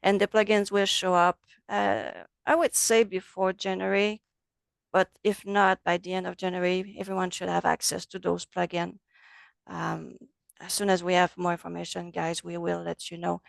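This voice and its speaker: A woman with a French accent, a native French speaker, teaching a relaxed online software class over a video call. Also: lowish voice, moderate pace, vocal fry, dry recording. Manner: friendly, loose, switches between English and French. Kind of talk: casual online class walkthrough.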